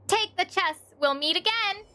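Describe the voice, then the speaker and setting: High, bright, sly little trickster. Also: female voice, moderate pace. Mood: sly, teasing. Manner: wheedling, theatrical, sly. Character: cheeky little thief feigning frail old man.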